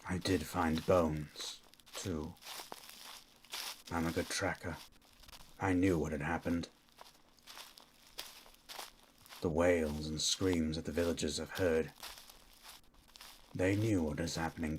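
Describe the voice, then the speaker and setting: A man playing a stern, menacing monster hunter in an ASMR roleplay, with a low, gruff voice and a terse, threatening manner. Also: slight background noise, low-quality recording. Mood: weary, threatening. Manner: terse, threatening, grunts hmm.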